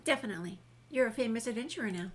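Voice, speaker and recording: Playful, higher-voiced woman gamer. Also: lively intonation, rapid-fire. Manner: playful, chatty, talks back to characters. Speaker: gamer doing a video game walkthrough. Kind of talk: casual let's play commentary.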